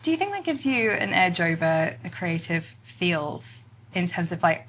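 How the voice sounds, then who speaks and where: A woman's voice: fairly low pitch, a bit bright, a little hiss or hum. A woman hosting a podcast interview, chatty and affirming, asking reflective questions. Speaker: podcast host. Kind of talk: casual interview conversation.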